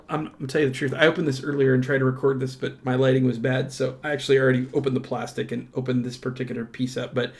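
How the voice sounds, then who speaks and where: A man with a mid-pitched voice talks casually as he presents an unboxing indoors. His delivery is enthusiastic and informal, a little halting, with thoughts that trail off and pick up again. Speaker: host of a tabletop game unboxing channel. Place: indoors at a tabletop.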